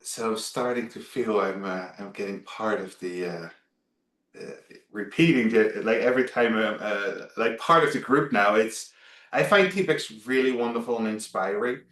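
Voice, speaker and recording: A man's voice: fairly low pitch, normal speed, fairly bright tone, reverberant. A man from the Netherlands with a Dutch accent, a guest chatting over a video call, hesitant with many fillers and restarts. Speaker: guest, travel blogger.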